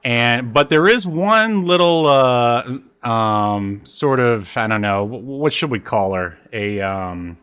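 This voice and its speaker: A man's voice: mid-range voice, slow pace, few pauses, a bit bright, smooth. A man co-hosting a podcast in casual comedic chat, jokey and given to acting out quotes. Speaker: podcast co-host. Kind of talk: casual comedic chat about a reality TV show.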